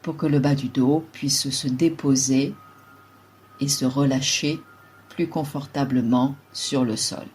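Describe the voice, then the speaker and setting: Female yoga nidra guide. Low, soft-edged husky voice, unhurried calm delivery of measured meditation instructions. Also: faint music behind. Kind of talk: slow guided relaxation meditation.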